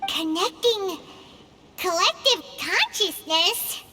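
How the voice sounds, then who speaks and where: A woman voicing a small, childlike, excitable fairy companion in a video game, squeaky and exclamatory. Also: takes it slowly, heavily acted. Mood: confused, surprised. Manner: refers to self in third person, exclamatory. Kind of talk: video game character acting. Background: game music.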